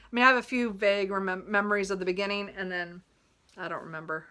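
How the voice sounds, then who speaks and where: A woman's voice: medium pitch, steady tone, fast talker, bright, forceful tone, smooth. A woman chatting casually about her reading list as a book vlogger, rambling and giggly, often correcting herself and apologizing. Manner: giggles often while talking.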